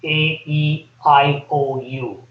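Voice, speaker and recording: A man with an Indian accent, a podcast guest laying out his points earnestly and deliberately. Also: medium pitch, fairly even intonation. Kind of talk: podcast interview. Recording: echoey, dull remote audio.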